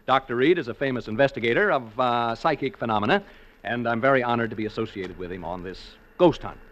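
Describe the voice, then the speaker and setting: An actor playing a chatty radio ghost hunter who speaks in breezy announcer patter, in 1940s radio acting. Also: male voice, medium pitch, fast, fairly bright tone, natural voice. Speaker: radio host. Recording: muffled old 1940s radio recording.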